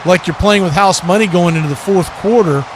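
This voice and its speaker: A man's voice: sits high, a little fast, crowd noise. An American man giving live play-by-play commentary of a football game as the host of a stream. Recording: clear background noise.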